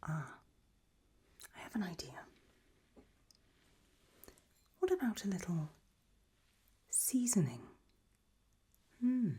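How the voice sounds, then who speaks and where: A woman's voice: mid-range voice, sing-song, slow pace, slightly rough, exaggerated voice. A woman playing an elegant, macabre gothic matriarch in an ASMR roleplay, whispering breathily in a languid, gracious manner. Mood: gracious, intrigued. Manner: languid, gracious, soft-spoken.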